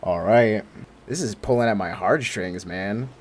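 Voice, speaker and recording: A man's voice: lowish voice, measured pace, a little hiss or hum. A young man giving casual, slangy reaction commentary on a game, emotionally invested and given to joking. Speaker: gaming video creator. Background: game music.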